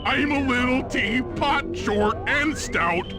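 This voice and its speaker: A man voicing a big, childish, raging hippo in a video game, babbling and shouting in an unhinged way. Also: very high voice, animated, slow pace, full character voice. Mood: deranged rage. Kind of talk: video game cartoon voice acting. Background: music.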